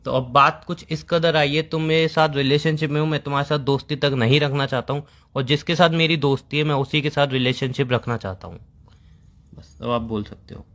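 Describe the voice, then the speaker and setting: A man's voice: on the high side, steady tone, brisk, fairly bright tone. A young man playing an exasperated boyfriend with a flowery, dramatic way of talking in a staged phone-call argument. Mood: solemn. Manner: flowery phrasing, easily irritated.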